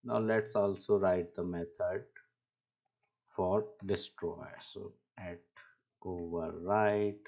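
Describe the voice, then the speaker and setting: A man giving an unscripted coding tutorial as an instructor, explaining step by step in a halting way, on a dull lo-fi microphone. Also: low-pitched, fairly even intonation, vocal fry, darkish tone. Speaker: programming instructor.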